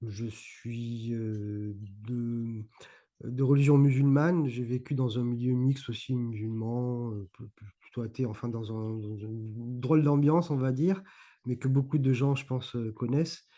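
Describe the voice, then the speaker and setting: A man's voice: medium pitch, fairly even intonation, unhurried, mellow. A middle-aged man from Provence, a guest talking casually in an interview, hesitant with many fillers and restarts. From Provence, France. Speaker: guest, YouTuber on esotericism.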